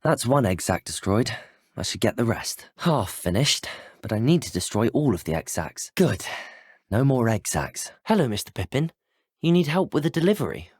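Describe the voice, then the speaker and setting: A slightly breathy young British male student wizard for a video game. He is earnest, curious and well-spoken, with lively, swooping intonation in a close, dry recording. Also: medium pitch, normal speed, no echo. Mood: determined. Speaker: player character voice.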